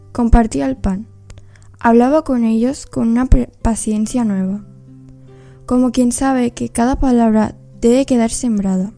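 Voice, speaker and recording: A woman narrates a scripted story in a solemn, reflective way. Her voice is somewhat high yet extremely soft and dark in tone, over faint music.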